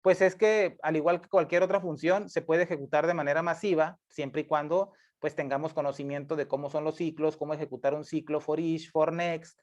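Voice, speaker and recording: A man's voice: fairly high pitch, steady tone, a little fast, hardly any pauses, darkish tone. Man teaching on a dull lo-fi mic. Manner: patient, repeats points, many tag questions and self-corrections. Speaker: instructor. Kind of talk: screencast coding lesson.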